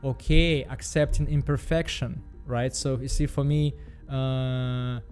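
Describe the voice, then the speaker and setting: Halting Belarusian man. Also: mid-range voice, fairly even intonation, darkish tone, faint music behind. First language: Russian. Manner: halting, repeats words, frequent fillers. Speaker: vlogger. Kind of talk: casual self-help vlog monologue.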